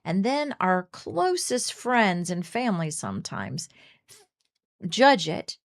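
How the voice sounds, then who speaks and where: A woman's voice: fairly low pitch, big pitch swings, takes it slowly. A middle-aged woman co-hosting a faith radio talk show, speaking earnestly and often restarting her sentences. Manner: earnest, restarts often, acts out quoted speech. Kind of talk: casual faith discussion.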